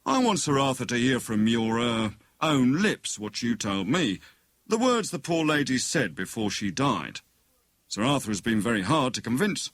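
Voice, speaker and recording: A man's voice: medium pitch, very animated, normal speed, a bit bright, no echo. Man as a solemn, formal British police inspector. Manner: formal, hesitant fillers.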